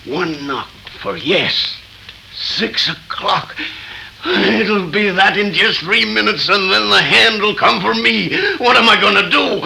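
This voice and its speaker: An actor playing a violent, guilt-ridden drunkard cowering from doom in melodramatic 1930s radio horror style. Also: male voice, high-pitched, lively intonation, slow pace, vocal fry, sharp tone, full character voice. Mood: shrieking terror. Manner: melodramatic, fearful. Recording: noisy vintage 1930s radio recording.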